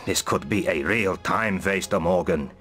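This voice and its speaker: A man's voice: sits low, unhurried, a bit bright, soft background music. A man playing a shrewd, suspicious mercenary lieutenant, serious and plain-spoken, in a fantasy video game. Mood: wary, irritated.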